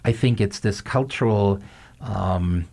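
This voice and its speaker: A man's voice: fairly low pitch, slow pace, creaky, darkish tone. A man hosting a podcast interview, speaking in a thoughtful way and often searching for his words. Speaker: host.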